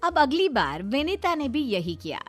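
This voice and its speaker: A woman's voice: high voice, big pitch swings, moderate pace, soft background music. A woman narrating a scripted cartoon family story. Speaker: narrator and character voice.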